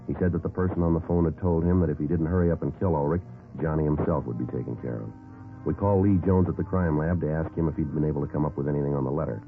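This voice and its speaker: An actor playing a terse police detective in a 1950s radio crime drama, deadpan and matter-of-fact. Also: male voice, very deep voice. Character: terse, businesslike male police detective sergeant narrating cases. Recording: tinny vintage 1950s radio recording.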